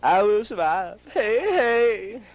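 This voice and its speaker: A man's voice: sits high, very animated, takes it slowly. A teenage boy joking around and singing bits of songs for a yearbook camera. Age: teens. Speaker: student. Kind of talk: casual yearbook video interview. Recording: old camcorder tape with music.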